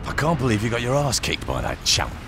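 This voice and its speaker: An actor playing a brash, sarcastic street gang boss in a video game. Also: male voice, mid-range voice, very animated, fairly quick, over music. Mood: cool, dry. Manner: sarcastic, brash, foul-mouthed. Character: cocky, irreverent adult male street gang leader.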